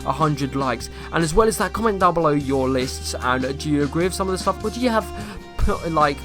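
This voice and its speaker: A young man YouTuber with a slightly croaky voice, rambling through casual gameplay commentary and asking viewers questions. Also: a little high, lively intonation, fairly quick, music in the background. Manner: rambling, self-correcting, asks viewers questions.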